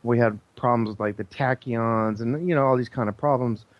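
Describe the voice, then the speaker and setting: A man with a mid-pitched voice explains things casually but insistently, as a guest on a show. He rambles earnestly, and the sound is dry, dull and lo-fi. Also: a little fast, dry recording.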